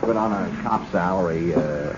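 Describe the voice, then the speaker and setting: An actor with an American accent playing a young, nosy police officer in a 1970s radio drama, folksy and awkward, stammering but dogged. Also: male voice, low voice, measured pace, over music. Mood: casual, hesitant. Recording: muffled, noisy old radio broadcast.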